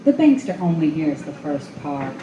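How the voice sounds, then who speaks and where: A brooding, haunting noir female voice acted by a woman tells a radio-play story. It is lowish and mellow, heard in a dull, echoey recording. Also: very animated, normal speed. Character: story voices: narrator, alluring ghostly woman. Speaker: actress playing narrator and side parts. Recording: dull, echoey live recording, faint noise.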